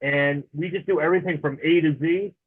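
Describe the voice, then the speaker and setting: A man's voice: a little high, fairly even intonation, fairly quick, husky. A man in his forties, a podcast guest, talking in a chatty, friendly way and laughing easily over a remote call. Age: 40s. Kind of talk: casual interview chat. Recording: tinny phone-like remote line.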